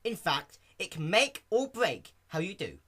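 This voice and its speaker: A man voicing a brash, boastful young female cartoon pony, loud, cocky and emphatic. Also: high-pitched, sing-song, unhurried, slightly rough, pitched above the performer's own voice, full character voice. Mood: upbeat, confident.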